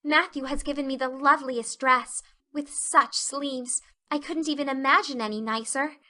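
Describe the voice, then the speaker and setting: A woman voicing an excitable, dreamy young girl in an audiobook, gushing with rapture. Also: high-pitched, normal speed, pitched above the performer's own voice. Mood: excited. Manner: gushing, effusive, breathless exclamations. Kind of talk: audiobook character acting.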